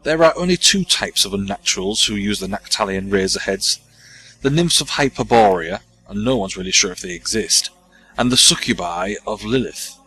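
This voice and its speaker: Brief: an eager male occult expert, low and bright-toned, lively delivery. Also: normal speed, slight background noise, low-quality recording. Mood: explaining. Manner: lively, explaining. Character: sharp-minded male investigator of demons, eager. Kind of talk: audio drama acting.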